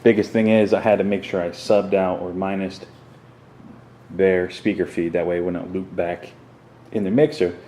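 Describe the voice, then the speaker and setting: A man with a low-pitched voice talks through a gear explainer off the cuff. His phrasing is clipped and offhand, like an informal vlog. There is clear noise behind him in the recording. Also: level tone, normal speed, dark tone. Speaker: vlogger showing a home podcast setup. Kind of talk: casual unscripted explainer walkthrough. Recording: clearly noisy room recording.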